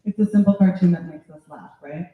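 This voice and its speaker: A man interprets a speech passage by passage. His voice is high, his delivery measured and a little halting. The sound is thin as a phone line and very echoey. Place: indoor public meeting hall.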